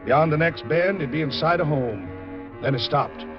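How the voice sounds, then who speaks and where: A man's voice: fairly high pitch, lively intonation, moderate pace, over music, close to an everyday voice. A man with an American accent giving intense, theatrical scripted narration in a 1940s radio drama style. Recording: dull old 1940s radio recording.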